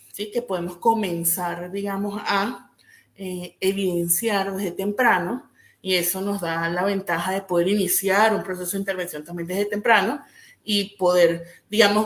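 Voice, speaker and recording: A woman from Venezuela speaks at a middle pitch, explaining patiently as a psychologist being interviewed. She keeps checking in with a quick, rising "¿sí?". Her voice echoes around the room. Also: a bit bright. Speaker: clinical psychologist guest.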